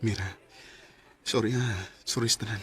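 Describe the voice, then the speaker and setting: An actor with a Cebuano Filipino accent playing an earnest, apologetic young boyfriend in a radio drama, speaking hesitantly. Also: male voice, fairly low pitch, fairly even intonation, moderate pace, sharp tone, slightly rough. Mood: apologetic, tender. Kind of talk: Bisaya radio drama acting.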